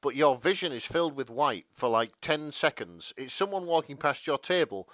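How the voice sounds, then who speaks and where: A man's voice: on the high side, expressive, moderate pace, a bit bright, no echo. A man co-hosting a podcast in casual comedic chat, sounding bemused and a little hesitant. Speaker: podcast co-host.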